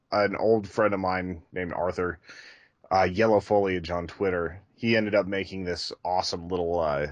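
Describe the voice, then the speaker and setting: A man's voice: low-pitched, dry recording. A man chatting casually and enthusiastically as a podcast co-host.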